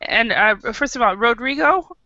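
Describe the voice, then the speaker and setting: An American woman leading an online English class, upbeat and encouraging, with frequent quick affirmations. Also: fairly high pitch, animated, pushed and bright, no echo, highs cut off. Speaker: English teacher. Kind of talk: online group English lesson.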